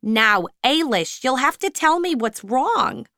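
A brisk, caring shop supervisor, put on by a woman narrator in an audiobook. Her voice rises noticeably higher and louder than the narration, firm yet concerned, recorded dry and close. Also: very animated, no echo, heavily acted.

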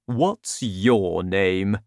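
A man voicing a huge, gentle giant in a storybook reading, speaking slowly and loudly. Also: no room sound, studio quality, exaggerated voice. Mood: booming. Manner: slow, booming, deliberate.

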